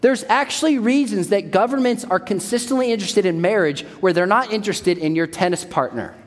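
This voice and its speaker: A man's voice: high-pitched, fairly quick. A man in his forties giving an emphatic church talk, repeating his key points. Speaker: speaker at a church. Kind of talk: sermon-style talk. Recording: long hall reverb.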